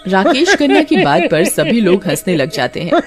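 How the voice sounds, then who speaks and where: A woman's voice: medium pitch, very animated, moderate pace, faint music behind. A woman narrating a scripted horror cartoon story as its storyteller.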